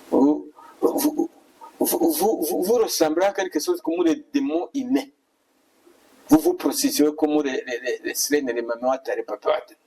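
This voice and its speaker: A man's voice: on the high side, vocal fry, darkish tone, breathy edge, distant mic, poor audio. A male preacher, delivering an admonishing, repetitive exhortation as a sermon. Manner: admonishing, repetitive rhetorical questions.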